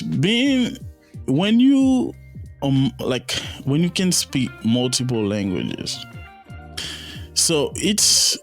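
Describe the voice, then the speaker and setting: A man from Haiti hosting a podcast interview through a headset, asking his questions in a halting manner full of restarts and "um"s. His voice sits at a middling pitch, with faint music playing behind him. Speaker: podcast host.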